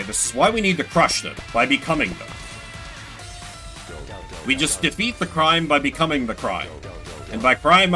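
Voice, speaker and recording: A man giving casual, animated and sarcastic gameplay commentary, swearing now and then. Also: on the high side. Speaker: streamer playing and voicing a game solo.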